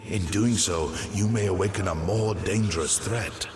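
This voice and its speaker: An actor playing an ancient, wise giant tree in a fantasy game, speaking in a solemn, cryptic and stately manner. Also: male voice, sits low, normal speed, in a large hall, noisy background. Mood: solemn, watchful. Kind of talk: scripted fantasy game dialogue.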